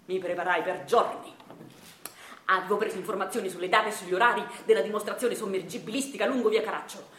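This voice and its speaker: A woman playing a self-styled intellectual husband who narrates a radio drama theatrically and deliberately, with a Neapolitan accent. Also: medium pitch, steady tone, fairly bright tone, roomy sound, close to an everyday voice. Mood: proud recounting. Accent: southern Italian, Neapolitan. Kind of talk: theatrical scripted storytelling.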